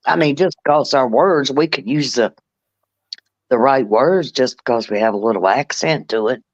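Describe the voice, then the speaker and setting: A Southern woman from North Carolina talks with a sing-song lilt, her pitch rising and falling a lot. The recording is dry and a little dull, like a lo-fi feed. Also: very animated, slow pace, long pauses, dry recording. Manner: drawling, sing-song, says honey and darling. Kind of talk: casual chat. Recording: dull lo-fi sound.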